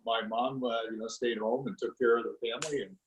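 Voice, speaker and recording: A man's voice: medium pitch, steady tone, quick pace. A man from the Netherlands hosting a casual interview, chatting in a friendly way and often affirming the guest. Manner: friendly, with many "you know" fillers and frequent affirmations. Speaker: podcast host.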